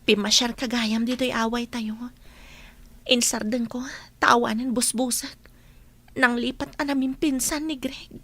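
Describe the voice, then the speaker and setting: High-voiced earnest Filipino woman played by an actress. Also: fairly even intonation, unhurried, long pauses, a bit bright. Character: earnest, hard-up woman eager to work. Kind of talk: Ilocano audio drama acting.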